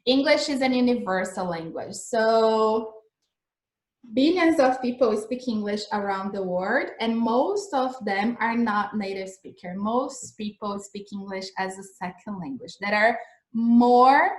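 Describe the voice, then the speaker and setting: A woman's voice: mid-range voice, roomy sound. A young woman with a Brazilian accent and a mixed accent from years abroad, giving a chatty, encouraging English lesson, checking in often with her listeners. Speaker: English teacher. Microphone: video call recording.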